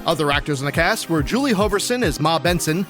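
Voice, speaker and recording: A male announcer reading end credits in a crisp, upbeat way. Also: fairly high pitch, lively intonation, fast talker, fairly bright tone, faint music behind.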